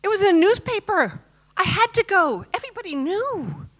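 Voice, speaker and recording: A woman's voice: very high-pitched, sing-song, moderate pace, fairly bright tone. Woman, 50s, from Canada; conference speaker, chatty storyteller who asks the audience questions. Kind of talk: personal story talk to a live audience. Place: conference stage.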